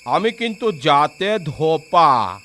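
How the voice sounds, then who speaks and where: Bright, swooping village washerman voiced by a man. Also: fairly high pitch, sing-song, slow, a little hiss or hum, full character voice. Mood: friendly. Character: humble, warm-hearted village washerman. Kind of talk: children's cartoon fairy tale acting.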